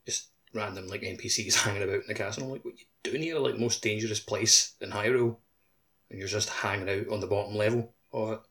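A man's voice: on the low side, fairly even intonation, quick pace, fairly bright tone. A man co-hosting a podcast, chatting informally about video games and peppering his sentences with "like". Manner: informal, frequent use of "like". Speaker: podcast co-host. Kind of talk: casual video game discussion.

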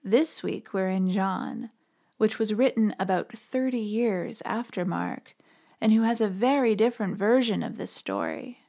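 A woman's voice: mid-range voice, sing-song, unhurried, vocal fry, dark tone. A woman podcast host giving conversational religious commentary in an informal, explanatory way.

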